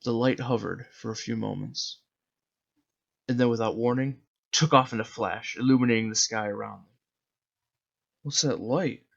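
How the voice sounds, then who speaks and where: A man's voice: medium pitch, steady tone, normal speed, creaky, highs cut off. A man narrating his own short story in a dramatic storybook style. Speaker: author reading his own zine.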